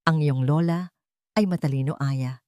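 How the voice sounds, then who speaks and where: A woman playing a powerful male billionaire in an audio story, soft-spoken and grave. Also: deep voice, moderate pace, no room sound, studio quality, lightly acted. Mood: quietly respectful.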